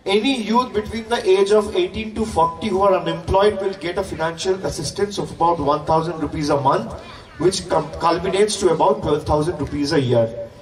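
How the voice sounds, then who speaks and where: High male voice, Indian accent, emphatic campaign oratory, repetitive phrasing, long reverberant public-address sound, far from the microphone. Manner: emphatic, repetitive, with rhetorical questions. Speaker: political campaign speaker. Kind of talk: political stump speech at a public rally. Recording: distant, echoey, noisy public-address sound.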